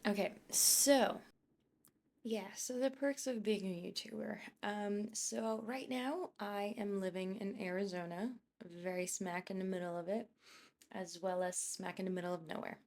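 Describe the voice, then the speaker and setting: A woman's voice: medium pitch, steady tone, a little slow, darkish tone. A young woman from Poland, chatting casually as a YouTuber while she builds in a game, rambling and thinking aloud.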